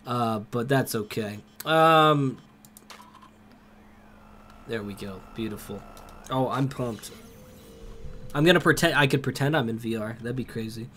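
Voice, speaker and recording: A young man with a cold, streaming a game from home on a headset and greeting his chat by name with excited outbursts. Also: medium pitch, unhurried, long pauses, slight background noise. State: has a cold.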